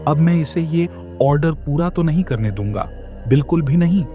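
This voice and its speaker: Brief: male actor as greedy cartoon shop boss, grumbling and scheming, mellow dark tone, faint music behind. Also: fairly high pitch, animated, moderate pace, lightly acted. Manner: bossy, grumbling. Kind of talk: children's cartoon dialogue.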